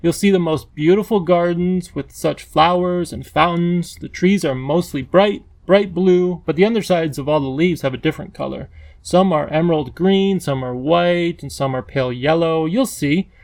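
A man's voice: fairly high pitch, normal speed, a little mellow, voice pushed up, close to an everyday voice. A man voicing a brave, earnest young girl who urges and coaxes in a storybook reading. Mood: soothing, eager. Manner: pleading, eager.

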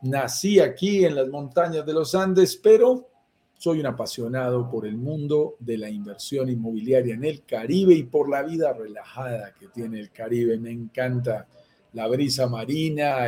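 A man's voice: mid-range voice, measured pace, warm tone. Enthusiastic Colombian man hosting a live webinar. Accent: Colombian Spanish. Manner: enthusiastic, persuasive, addresses viewers directly. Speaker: live webinar host for real estate investing. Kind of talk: live-streamed sales webinar. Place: indoors in Bogotá.

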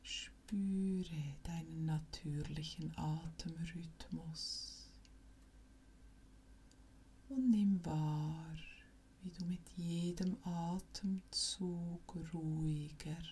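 A woman with a low, mellow voice guides a relaxation exercise extremely slowly. Her delivery is soft, breathy and close to a whisper, with long pauses between short phrases. She sounds calm and soothing throughout. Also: a little hiss or hum. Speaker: yoga nidra instructor.

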